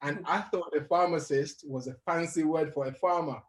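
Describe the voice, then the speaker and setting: A young adult man who grew up in Uganda, telling his life story as a guest in an interview, animated and laughing as he goes. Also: fairly high pitch, normal speed. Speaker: guest motivational speaker.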